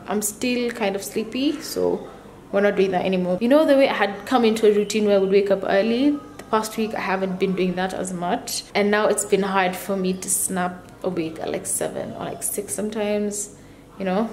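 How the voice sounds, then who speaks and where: A young woman with a slightly deep voice, chatting casually as a student vlogger into a handheld camera or phone. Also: a little hiss or hum. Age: young adult. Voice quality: deeper voice for a woman. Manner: chatty, relaxed, drawn-out fillers. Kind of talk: casual vlog chat. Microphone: camera or phone held by herself.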